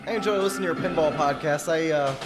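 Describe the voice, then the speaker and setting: A man's voice: a little high, quick pace. A man chatting as a guest on a live stream, joking and laughing easily. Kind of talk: casual chat. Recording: music playing behind. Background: music.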